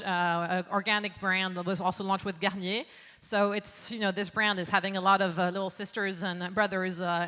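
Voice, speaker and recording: A woman's voice: mid-range voice, bright, forceful tone, long reverb tail. A woman, a brand executive, gives an upbeat, proud presentation, often repeating words as she searches for the phrasing. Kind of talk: conference presentation. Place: large conference hall.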